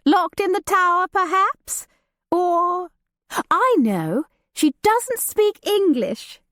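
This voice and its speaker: A woman's voice: extremely high pitch, lively intonation, takes it slowly, a bit bright, no echo, clean studio sound, pitched above the performer's own voice, exaggerated voice. A woman voicing a flighty, romantic young noblewoman with a lilting, gossipy manner. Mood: playful.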